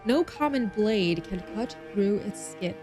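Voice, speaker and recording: A woman's voice: medium pitch, animated, measured pace, over music. A woman hosting a game livestream, expressively reading story text aloud and chatting with viewers in bubbly asides. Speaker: streamer and host.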